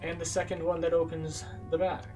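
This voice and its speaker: A man's voice: fairly high pitch, monotone, fairly quick. A man giving casual, matter-of-fact playthrough commentary as a tour guide, explaining things as he goes. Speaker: video game tour guide. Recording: distant mic, loud game music.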